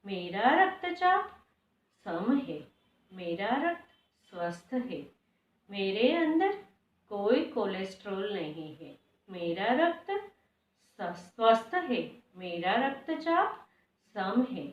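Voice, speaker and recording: A woman's voice in an echoey room, set back from the microphone. She leads a guided meditation at a very unhurried pace, reciting prayers and affirmations in short, deliberate phrases that repeat. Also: medium pitch, sing-song. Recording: echoey room, distant mic, dull sound.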